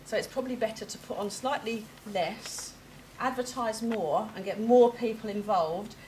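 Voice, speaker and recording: A woman's voice: fairly high pitch, moderate pace, a little mellow. A woman with a big, carrying voice giving a chatty, informal update to supporters as a hospice representative. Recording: noisy room ambience.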